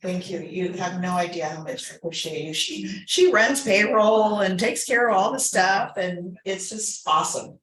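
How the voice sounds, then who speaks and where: A woman chairing a formal board meeting, moving through procedure with conversational, informal asides. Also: medium pitch. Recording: echoey room recording.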